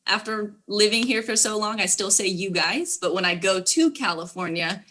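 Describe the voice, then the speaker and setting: A woman with a Texas accent chats as a talk-show guest. Her voice sits at a middling pitch and rings bright, and she sounds upbeat and easy. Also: moderate pace. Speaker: emergency management specialist, guest. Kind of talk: casual interview chat.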